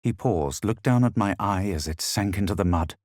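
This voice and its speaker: Male actor as a fantasy game hero, low voice, dry close sound, haughty, measured narration. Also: a little mellow, no room sound, lightly acted. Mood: measured, grave. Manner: measured, superior, deliberate. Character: haughty, self-assured male fantasy adventurer, narrating his journey. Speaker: player character voice actor in a fantasy video game. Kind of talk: dramatic scripted game dialogue and narration.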